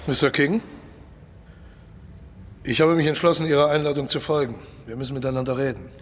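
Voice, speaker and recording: An actor playing a suave, cynical mercenary colonel and old-hand spy in a radio play, polite but insistent, condescending and menacing. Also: male voice, mid-range voice, brisk, lightly acted. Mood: suave menace. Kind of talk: German radio play acting.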